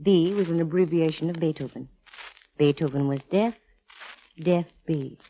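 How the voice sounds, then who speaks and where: A wry lady detective from 1940s radio, low voice, self-assured, dull hissy old recording. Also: moderate pace. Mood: confident, wry. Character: confident, wisecracking female private detective.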